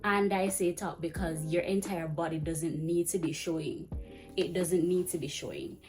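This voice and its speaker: A young woman giving chatty, fast-paced teaching advice to camera in a small indoor room. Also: fairly low pitch, over music. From Jamaica. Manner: chatty, fast-talking, animated with hand gestures. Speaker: vlogger and ESL teacher. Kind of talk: casual advice vlog. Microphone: camera framing head to chest.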